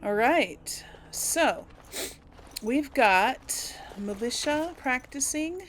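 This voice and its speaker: A woman's voice: high voice, animated, very slow, a bit bright, slight background noise, rough recording. A woman giving casual, musing game commentary, thinking aloud and speaking replies in character. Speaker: gaming video host.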